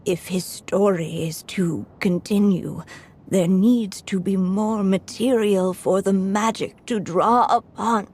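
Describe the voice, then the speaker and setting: An actress voicing Anya, a frail, aging writer in a game cutscene, speaking softly and slowly with a breathy edge. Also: female voice, medium pitch, fairly even intonation. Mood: wistful. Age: older. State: weak, coughing. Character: old woman writer Anya, frail, wistful, resolved. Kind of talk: story cutscene voice acting. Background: soft music.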